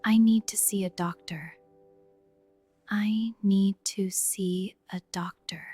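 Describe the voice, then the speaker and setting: A woman teaching English, repeating each short sentence several times in a slow drill, often dropping to a whisper. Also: medium pitch, fairly even intonation, dark tone, slightly rough, soft background music.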